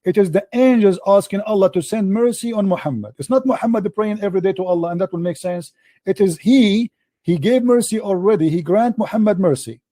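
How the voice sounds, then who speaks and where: A man's voice: high-pitched, animated, brisk, mellow, dry recording. A man hosting a religious debate, pressing his points insistently and repeating himself in nonstandard English. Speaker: debate host.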